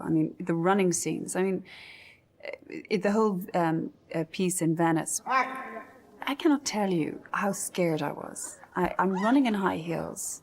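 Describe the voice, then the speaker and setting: An actress telling stories from a film set in an interview, animated and full of small fillers. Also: female voice, on the low side. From Ireland. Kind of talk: reflective interview recollection.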